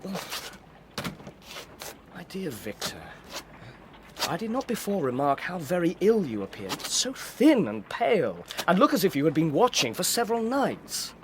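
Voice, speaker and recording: A man playing a cheerful, affectionate young gentleman in a dramatised period audiobook, courteous and effusive. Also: high-pitched, wildly swooping pitch, a little slow, pauses often, noisy background, full character voice. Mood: gently concerned. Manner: effusive, courteous, formal phrasing.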